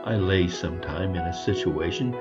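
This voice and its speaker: A man reads a scripted storybook tale as a narrator, in a theatrical, measured storytelling manner. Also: sits low. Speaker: audiobook reader. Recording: dull lo-fi recording with music behind. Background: music.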